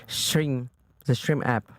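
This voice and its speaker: Low-voiced Brazilian woman, brash and slangy. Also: darkish tone, a little hiss or hum. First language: Portuguese. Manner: brash, slangy, broken grammar. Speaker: podcast guest. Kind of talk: casual chat.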